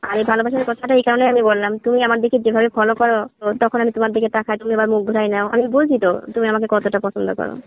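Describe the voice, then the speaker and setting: A flirtatious, lonely sister-in-law, played by a woman in a phone-call drama. She coaxes and teases in a very quick stream of talk, heard through a thin, tinny telephone line with little room sound. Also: on the high side, little pitch movement, dry recording, close to an everyday voice. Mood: wistful, flirtatious. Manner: coaxing, teasing, suggestive. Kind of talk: staged phone-call dialogue.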